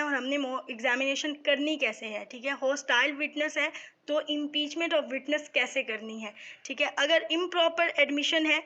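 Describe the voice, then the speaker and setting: A woman's voice: high voice, bright, forceful tone. A Pakistani woman giving a methodical explanatory law lecture for exam students, often checking in with a short tag phrase. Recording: dull lo-fi microphone sound.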